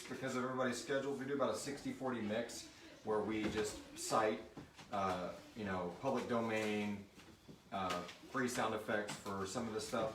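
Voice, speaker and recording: A man's voice: mid-range voice, a little hiss or hum. A man hosting a casual live question-and-answer chat in a joking, informal way. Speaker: show creator and host reading out listener questions. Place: room with a group at a live stream. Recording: roomy echo, distant mic, crowd behind.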